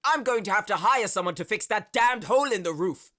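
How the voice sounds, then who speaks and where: A man's voice: high voice, lively intonation, a little fast, sharp tone. A man playing an arrogant, cruel nobleman sorcerer with a pompous, sneering manner in an audio drama. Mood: angry contempt. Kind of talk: dramatic audio roleplay acting.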